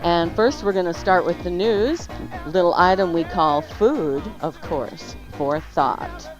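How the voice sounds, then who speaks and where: A woman's voice: lowish voice, slow pace, muffled recording. A woman hosting a live morning radio show with a theatrical, playful announcer's delivery. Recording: loud music behind the voice. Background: music.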